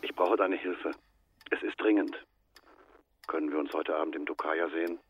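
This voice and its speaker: An actor playing a man quietly and urgently asking a friend for help in a radio drama phone call. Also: very deep voice, fairly even intonation, moderate pace. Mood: urgent, hushed. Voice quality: husky, hushed.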